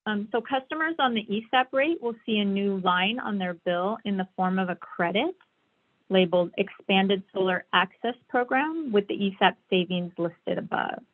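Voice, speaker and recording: A woman's voice: medium pitch, moderate pace. A woman giving a slide presentation on a conference call over a thin, tinny phone line. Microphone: phone or online call.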